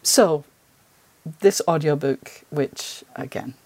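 A woman with a voice a little on the low side hosts a podcast interview. She puts her questions and comments conversationally, as an interviewer. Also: takes it slowly, gravelly, darkish tone.

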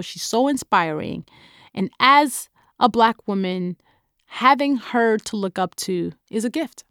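Nigerian woman author, bright emphatic delivery, dead-dry studio read. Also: on the high side, no room sound. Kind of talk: reading a prepared statement about her audiobook.

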